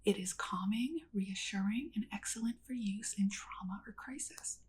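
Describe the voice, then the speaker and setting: A woman doing a whispered ASMR role play as a kindly witch, speaking gently and unhurriedly straight to the listener. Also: medium pitch, normal speed, husky. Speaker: ASMR creator playing a witch.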